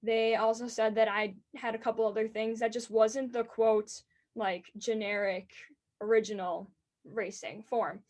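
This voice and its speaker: An eighteen-year-old woman with a high-pitched voice, earnestly answering interview questions about racing announcing. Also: steady tone, a little mellow. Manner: earnest and enthusiastic, quick bursts.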